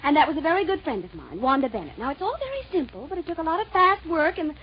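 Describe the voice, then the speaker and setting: Coaxing young woman, fast and animated, flustered, pleading, 1940s radio drama, dull old recording. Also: sits high. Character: flustered, coaxing young woman explaining her own trick.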